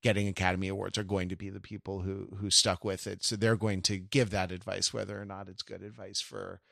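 A man's voice: low voice, level tone, a little fast, gravelly, fairly bright tone, no room sound, clean studio sound. A man from Los Angeles co-hosting a podcast, talking in a rambling, digressive way and correcting himself as he goes. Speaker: podcast co-host. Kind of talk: casual chat.

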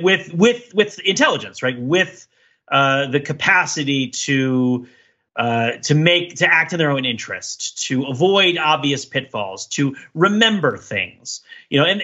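Animated man podcasting, bright husky voice. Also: fairly high pitch, muffled recording. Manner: discursive, animated, emphatic. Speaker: podcast host and TV critic. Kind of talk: casual analytical podcast discussion.

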